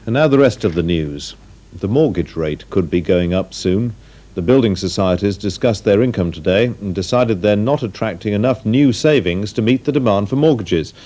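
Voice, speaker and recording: A man with a British accent reading scripted news in a measured, formal way. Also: on the low side, mellow. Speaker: newsreader.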